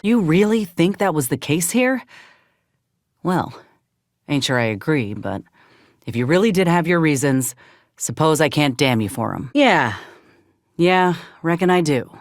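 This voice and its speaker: Earnest, young spacefarer. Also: female voice, low voice, expressive, unhurried, no room sound, studio quality. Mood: hurt, guarded. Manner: folksy, plainspoken, earnest. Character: earnest, principled young female spacefaring companion, folksy and wounded. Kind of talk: video game companion dialogue acting.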